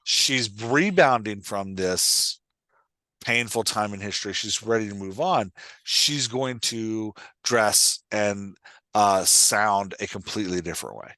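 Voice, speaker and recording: A man's voice: fairly low pitch, a little slow, bright, forceful tone, no echo. A man hosting and coaching in a conversational, explanatory way, often checking that the listener follows. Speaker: podcast host and writing coach.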